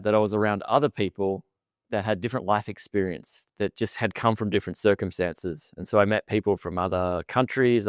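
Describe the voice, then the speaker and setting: A middle-aged Australian man with a fairly low, smooth and clear voice, recorded dry and close on a studio mic. He talks in a relaxed, chatty way, often repeating his words. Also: no room sound. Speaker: podcast guest. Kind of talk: casual storytelling interview.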